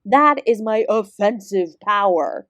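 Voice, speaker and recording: A woman's voice: mid-range voice, lively intonation, takes it slowly, a bit bright, no echo, clean studio sound. A woman playing an ancient celestial dance teacher in an audio roleplay, sarcastic and exasperated, speaking with sardonic, sweary emphasis. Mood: indignant. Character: ancient female apsara dance guru, sarcastic, exasperated, fiercely independent. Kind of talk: scripted audio roleplay addressed to the listener.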